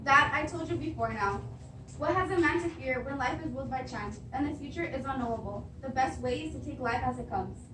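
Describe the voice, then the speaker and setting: A woman plays an anxious queen in a classical tragedy, formal and declamatory. Her voice is high, recorded at a distance with clear background noise. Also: brisk, natural voice. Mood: assured. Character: anxious queen, devoted wife, pleading against dread. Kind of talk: beginning drama class readers theatre. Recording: echoey room, distant mic, clearly noisy.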